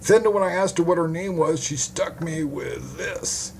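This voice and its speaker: A man acts out a sheepish younger man in a detective story, his voice pitched up. He rambles defensively in loose, colloquial phrases. The recording is clearly noisy. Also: a little slow, a little mellow.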